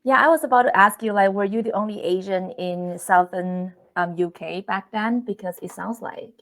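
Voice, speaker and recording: A woman's voice: mid-range voice, moderate pace. Woman host from Taiwan, second-language English, chatty and upbeat, lots of "like" and "um". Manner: chatty, filler-heavy, upbeat. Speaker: live stream host. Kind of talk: casual interview chat.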